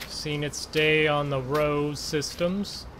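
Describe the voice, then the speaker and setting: A man giving casual gameplay commentary in a conversational, unhurried way with trailing pauses. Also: a little high, steady tone, background noise. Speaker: gaming video host.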